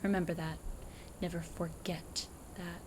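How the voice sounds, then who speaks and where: A woman's voice: on the low side, flat delivery, unhurried, slight background noise, lightly acted. A woman playing an imperious, cryptic prophetess who speaks formally and curtly in an audio drama. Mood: haughty, stern. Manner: imperious, formal, archaic wording. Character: haughty, cryptic ancient prophetess, curtly dismissive.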